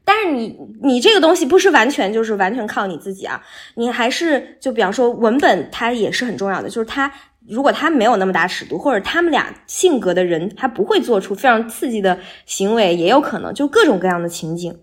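A woman with a bright, sweet voice, explaining things step by step in a casual podcast interview as a voice actor guest. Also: a little high, big pitch swings, fairly quick.

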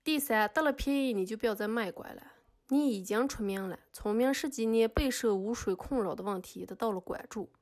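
A woman's voice: a little high, a little fast, darkish tone, close to an everyday voice. A woman playing an indignant accuser, scolding and sarcastic, in a scripted podcast drama. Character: indignant female accuser scolding an artist in court.

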